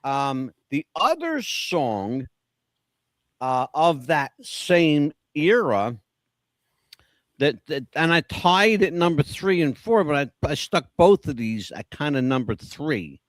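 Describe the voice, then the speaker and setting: A man with a slightly higher voice trades song picks on a podcast and spins chatty, self-deprecating stories. His voice comes through dry and close, with no room sound around it. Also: big pitch swings, takes it slowly, no echo. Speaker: podcast co-host. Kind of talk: casual chat about favorite songs.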